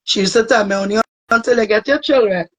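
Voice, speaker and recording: A woman's voice: on the high side, fast talker. A woman with a Trinidadian accent speaking earnestly and reflectively as a guest in a casual interview. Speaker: soca singer guest.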